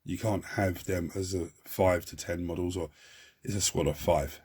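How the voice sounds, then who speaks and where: A man hosting a model-building tutorial, talking in a chatty, rambling way with a croaky, hoarse voice and many restarts. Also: deep voice, steady tone, normal speed, background noise. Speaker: hobby channel host.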